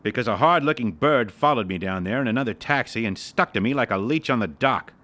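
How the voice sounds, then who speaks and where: A man's voice: mid-range voice, lively intonation, a little fast, bright, forceful tone. An actor playing a breezy, wisecracking young man in an audio mystery drama, terse and full of period slang. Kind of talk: audio re-creation of a 1930s mystery film.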